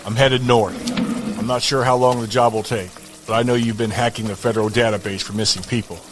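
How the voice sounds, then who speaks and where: A man's voice: medium pitch, steady tone, a little fast, noisy background, lightly acted. An actor playing a curt, commanding man who demands loyalty, blunt and authoritative, in an audio drama. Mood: cold, controlling.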